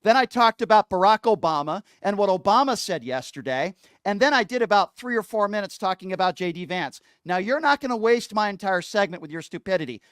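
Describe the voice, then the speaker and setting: A man's voice: high voice, lively intonation, brisk, fairly bright tone, no room sound, clean studio sound. A man hosting a political call-in radio show, scolding and sarcastic as he argues. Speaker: radio talk show host.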